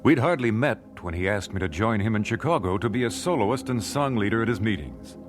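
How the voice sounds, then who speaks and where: An actor playing a thoughtful, genteel American singer recalling his life in a radio drama, measured and well-spoken. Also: on the low side, expressive, music in the background, natural voice. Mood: reflective. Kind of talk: radio drama first-person narration. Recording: noisy old radio drama recording.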